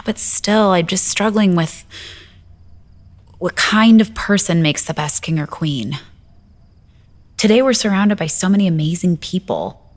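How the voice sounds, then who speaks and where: Woman playing a husky, anxious young princess. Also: mid-range voice, in a large hall. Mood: self-doubting. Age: young adult. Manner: hesitant, earnest, sighing. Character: anxious, self-doubting young princess, earnest and searching. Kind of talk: audio drama acting.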